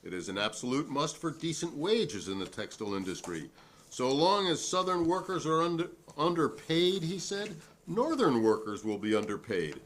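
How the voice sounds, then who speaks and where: A man's voice: a little high, sing-song. A man reading the part of a defiant, principled witness at a 1950s congressional hearing, speaking formally and emphatically in a dramatic reading. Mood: challenging. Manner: emphatic, formal, deliberate repetition.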